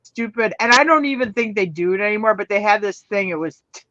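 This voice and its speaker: A woman with a bright voice chimes in with quick, laughing interjections during casual chat. Her voice sounds dry and close, with a slightly dull, lo-fi quality. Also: mid-range voice, animated, dry recording. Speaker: co-host.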